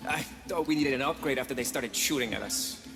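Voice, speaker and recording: A man's voice: mid-range voice, fairly quick, creaky, in a large hall, lightly acted. An actor playing a nervous, nerdy young male tech guy in a video game, hesitant and stammering. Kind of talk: video game cutscene acting. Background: game music.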